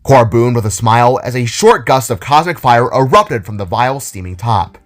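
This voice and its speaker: A man's voice: mid-range voice, expressive, moderate pace. A man reading scripted story narration as the narrator of an audio drama.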